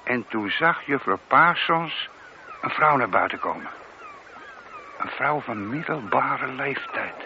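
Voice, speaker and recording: An actor playing a deferential police sergeant in a radio play, reporting in a careful, matter-of-fact way. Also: male voice, mid-range voice, animated, slow. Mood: earnest, narrating. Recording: dull, noisy old radio recording.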